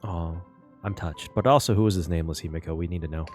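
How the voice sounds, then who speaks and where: A man hosting a casual reaction video on a game story, excitable and given to repeating phrases for emphasis. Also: low voice, fast, faint music behind.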